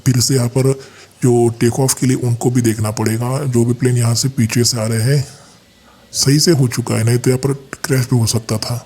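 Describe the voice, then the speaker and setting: A man's voice: medium pitch, level tone, normal speed, darkish tone, husky. An Indian man hosting a gaming channel, giving casual gameplay commentary and explaining things to viewers as his friends.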